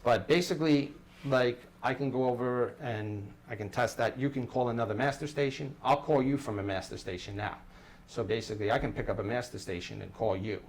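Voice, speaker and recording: A man's voice: medium pitch, a little fast. A man explaining equipment to staff in an informal hands-on demonstration, step by step and often repeating his phrases. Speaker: installer training staff on an intercom system. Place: indoor room at a workplace. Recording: noisy room, ambient background hiss.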